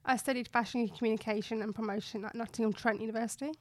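A woman's voice: a little high, fairly even intonation, very fast. A woman in her twenties with a Yorkshire accent, chatting casually and jokily as an interview guest. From Bradford, West Yorkshire, England. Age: 20s. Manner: chatty, informal, self-joking. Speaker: interview guest, fashion blogger and influencer. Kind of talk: casual chat.

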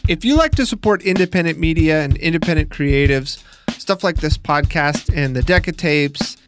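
An American man with a slightly high voice narrates as a podcast host. He speaks conversationally, addressing the listener directly. Clear music with guitar plays behind him. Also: moderate pace. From United States. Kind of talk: narrated audio documentary with host-read ad.

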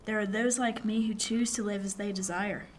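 Woman playing a grave, measured sailor man. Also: medium pitch, steady tone, a little hiss or hum, natural voice. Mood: measured, respectful. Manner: measured, formal, deliberate. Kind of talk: period radio drama acting.

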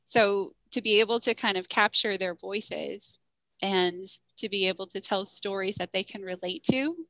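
A woman's voice: medium pitch, moderate pace, sharp tone, no room sound, poor audio. A woman guest, a school counselor and author, answering interview questions in a friendly, thoughtful way with frequent ums.

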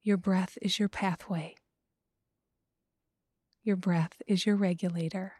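A woman guiding a breathing meditation, speaking slowly and softly and often in a whisper. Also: fairly low pitch, steady tone, no room sound, clean studio sound. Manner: slow, soft, often whispered. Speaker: meditation guide.

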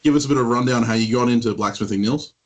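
A man co-hosts a casual podcast in an even, mid-pitched voice. He speaks in a relaxed, easygoing way, with plenty of casual filler sounds along the way. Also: very fast. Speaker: podcast co-host.

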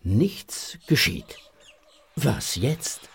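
A male storyteller narrates a children's audio play. His pitch moves expressively and he takes his time over each phrase, with a slightly husky tone. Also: big pitch swings, very slow.

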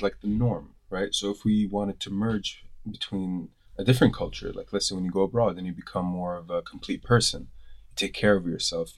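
A man from Kuwait talking reflectively in a casual panel discussion, explaining his views in long runs. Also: deep voice. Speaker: panel guest.

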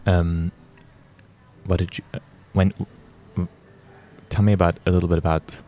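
A man's voice: low-pitched, level tone, moderate pace, mellow, slight background noise. A man interviewing a guest, asking curious questions and nodding along with short replies. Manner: curious, brief prompts and frequent "mm-hmm". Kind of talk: casual interview.

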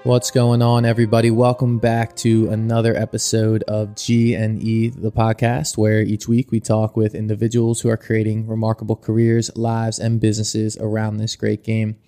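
A man's voice: lowish voice, monotone, moderate pace, few pauses, warm tone. A man hosting a podcast, reading a scripted intro and asking interview questions in an upbeat, welcoming way. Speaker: podcast host.

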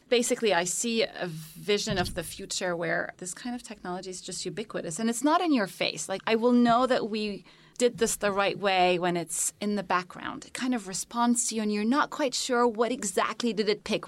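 A woman's voice: medium pitch, normal speed, dry recording. A woman from Egypt talking as a guest in a panel conversation, articulate and enthusiastic, explaining her ideas with many examples. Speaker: tech company founder, guest.

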